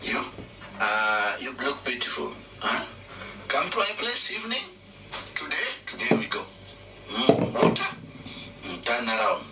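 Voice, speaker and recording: A man voicing a leering foreign man who speaks halting, broken English in a slow, deep voice. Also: sing-song, sharp tone, full character voice. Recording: echoey and distant with noise.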